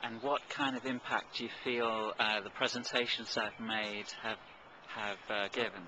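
A man asks brisk, friendly interview questions from behind the camera. He is recorded outdoors, with clear street ambience and vehicles behind him. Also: lowish voice, flat delivery, pushed and bright, slight background noise, rough recording.

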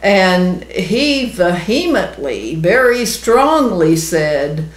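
A woman's voice: lowish voice, very animated, extremely slow, a little hiss or hum. A woman, teaching the Bible in an earnest, emphatic, conversational way. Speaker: ministry co-host, Bible teacher. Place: small indoor room. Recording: roomy, echoey indoor sound.

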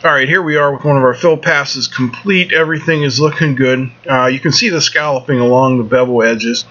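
A middle-aged man explains his welding work in an easygoing, plain-spoken way, like a shop regular talking through the job. His voice sits at an ordinary pitch for a man. The recording is a bit dull and lo-fi, with a faint air conditioner humming in the workshop. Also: fairly quick, fairly bright tone, slight background noise. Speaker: welding instructor, show host. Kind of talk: casual instructional voice-over. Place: home welding shop, indoors.

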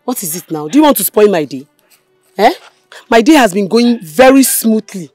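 A woman's voice: medium pitch, expressive, moderate pace, a little mellow, no room sound, clean studio sound, faint music behind, close to an everyday voice. Scornful Nigerian woman, played by an actress. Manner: scornful, dismissive. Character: sharp-tongued young woman brushing off a suitor. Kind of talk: film acting.

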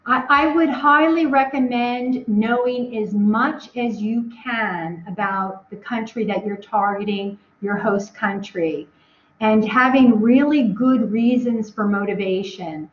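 A woman's voice: a little high, slow pace. An American woman answering questions as a webinar guest from home, rambling with many ums and restarts. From United States. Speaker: guest speaker. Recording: echoey, dull video call audio.

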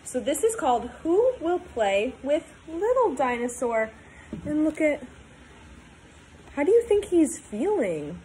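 A woman in her twenties reading a storybook aloud to young children, talking in simple, sing-song, animated phrases. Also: sits high, takes it slowly, a little hiss or hum, poor audio. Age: 20s. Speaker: teacher reading aloud to pupils.

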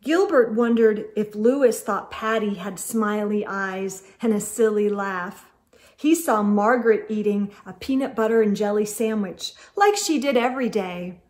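A middle-aged woman reads a picture book aloud for children, expressive and carefully phrased, as a storytime host. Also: medium pitch. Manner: deliberate, clearly phrased delivery aimed at young children. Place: indoor room with bookshelves.

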